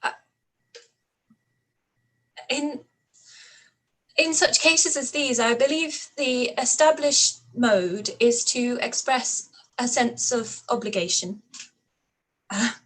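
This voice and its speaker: An actress playing a proud, spirited young Regency gentlewoman in a radio play reading, with measured, articulate delivery. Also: a little high, low-quality recording. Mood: stiffly restrained.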